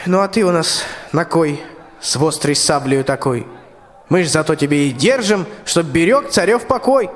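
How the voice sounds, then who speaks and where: A man's voice: on the high side, expressive, moderate pace, slight background noise, natural voice. A young man playing a grumpy, sly tsar and exasperated father in a rhymed radio play, drawling querulously. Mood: wheedling. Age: young adult. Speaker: school student actor. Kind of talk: theatrical verse radio play. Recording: long hall reverb.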